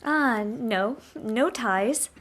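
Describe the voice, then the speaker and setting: A woman's voice: on the high side, extremely slow, fairly bright tone, full character voice. A woman playing a guarded, prickly American newcomer in a naturalistic radio drama. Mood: wary. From United States, Seattle.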